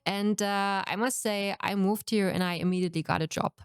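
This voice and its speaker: A woman's voice: mid-range voice, steady tone, a little fast, smooth, no room sound, studio quality. A woman from Germany with a German accent, a podcast guest chatting in a reflective, easygoing way and laughing now and then. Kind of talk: casual interview.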